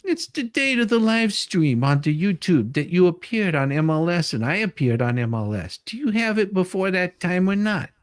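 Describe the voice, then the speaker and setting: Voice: mid-range voice, big pitch swings, normal speed, hardly any pauses, no room sound, clean studio sound, lightly acted. A performer reading the part of a pushy, testy male lawyer who argues insistently with a witness. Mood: testy, argumentative. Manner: insistent, argumentative. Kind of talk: dramatic reading of a deposition transcript.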